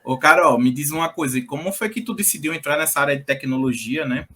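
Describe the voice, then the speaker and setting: A man's voice: fairly high pitch, fast, pushed and bright. A man hosting a casual interview, upbeat and playfully teasing his guest. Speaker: interview host.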